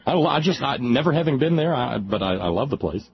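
A man's voice: mid-range voice, lively intonation, vocal fry, slightly rough, no room sound. Chatty man hosting call-in radio. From West Virginia. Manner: chatty, halting, repeats words. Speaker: radio talk show host. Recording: dull radio broadcast with faint noise.

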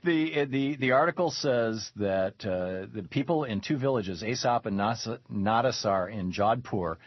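An older man hosting a call-in radio talk show, wry and quick to laugh, with stammering restarts. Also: mid-range voice, animated, husky, dry recording. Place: radio studio. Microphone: studio mic. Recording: dull band-limited radio audio.

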